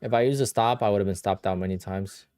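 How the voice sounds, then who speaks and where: A man's voice: on the low side, fast talker, darkish tone, smooth, dry recording, clean studio sound. A man with a Polish accent, Polish his first language, hosting a casual livestream and answering viewer questions in a blunt, offhand way. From Poland. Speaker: livestream host.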